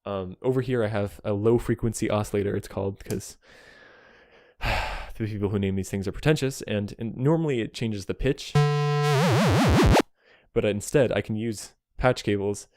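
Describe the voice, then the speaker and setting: A man's voice: fairly low pitch, normal speed, long pauses, dark tone. A man giving a casual, rambling synthesizer demonstration to a friend, enthusiastic yet self-deprecating and apologetic, speaking as an amateur player. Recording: music behind, occasionally overloaded mic. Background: synthesizer tones.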